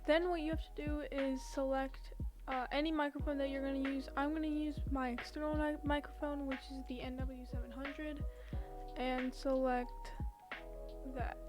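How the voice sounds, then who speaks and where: A young woman with a high voice talks through a software tutorial in an informal, step-by-step way. Music plays behind her as she explains. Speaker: tutorial host.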